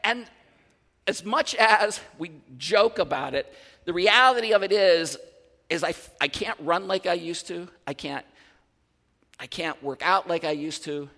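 Male pastor, 50s, animated conversational sermon; high voice, reverberant hall. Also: measured pace. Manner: animated, conversational preaching with restarts and asides. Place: large church hall.

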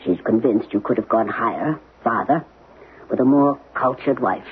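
An actor playing a calm, frank psychiatrist in a 1970s radio drama, talking in a measured, probing way. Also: male voice, mid-range voice, breathy edge. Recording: lo-fi 1970s radio broadcast, noisy.

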